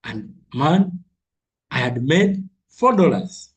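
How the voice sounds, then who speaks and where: A man coaching a group on a call while nursing a cold, his voice husky and rough at the edges. He repeats numbers and phrases to drive them home, steady and mid-pitched. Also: takes it slowly. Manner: repeats figures and phrases for emphasis. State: has a cold. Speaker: trading coach. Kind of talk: live online trading lesson.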